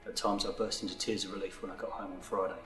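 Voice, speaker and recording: Flat, bright-toned man in his thirties. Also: sits low, fast talker, soft background music. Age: 30s. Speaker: employee sharing his story. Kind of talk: scripted testimonial. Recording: roomy video recording.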